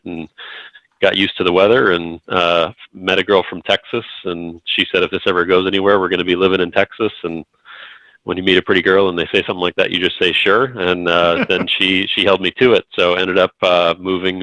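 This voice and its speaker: A man's voice: deep voice, pushed and bright, no room sound, lo-fi sound. A man in his thirties from New Hampshire, an investment firm executive introducing himself in an easygoing way with many fillers. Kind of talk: casual panel introduction.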